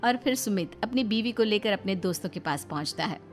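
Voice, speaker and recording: A woman's voice: mid-range voice, expressive, fairly quick. A woman giving scripted narration as the storyteller of a cartoon moral story. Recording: background music behind the voice.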